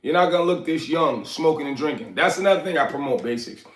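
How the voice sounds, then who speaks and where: A man's voice: on the high side, fast. A man in his forties from Liberia, livestreaming from his apartment in a loose, rambling way, answering chat and still getting over a sickness. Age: 40s. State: recovering from illness, coughing. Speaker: livestream host. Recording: echoey room.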